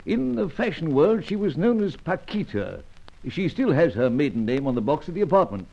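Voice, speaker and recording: Muffled old radio posh british spy boss, hems and haws, lively pitch, genial. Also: male voice, moderate pace, gravelly, exaggerated voice. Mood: genial briefing. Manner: hemming, old-boy politeness. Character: genial British spymaster, upper-class and avuncular. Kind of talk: vintage radio drama acting.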